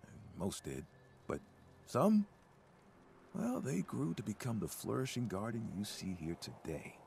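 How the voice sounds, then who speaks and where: A man playing a gentle, timid, nature-loving ghoul gardener in a video game, speaking mildly, hesitantly and earnestly. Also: on the low side, very animated, measured pace, gravelly, slight background noise, heavily acted. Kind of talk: video game character dialogue.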